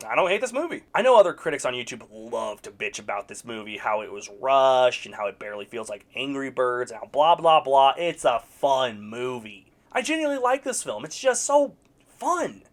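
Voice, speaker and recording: Wildly animated sarcastic movie reviewer. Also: medium pitch, normal speed. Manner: sarcastic, blunt, swears. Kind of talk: casual opinionated review.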